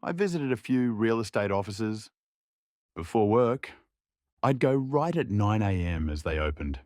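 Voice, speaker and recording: A man's voice: fairly low pitch, very animated, unhurried, a little mellow, no echo, clean studio sound. A young man giving scripted audio drama narration as a subdued, reflective inner monologue.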